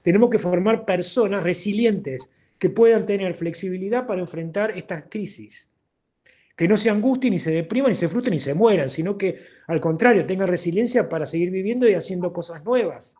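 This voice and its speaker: High-pitched older Argentine man. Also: expressive, a little fast, a little mellow. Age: 60s. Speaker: interviewed guest, accountant turned entrepreneur. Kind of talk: informal seminar talk on a video call. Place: indoor room at home, video call.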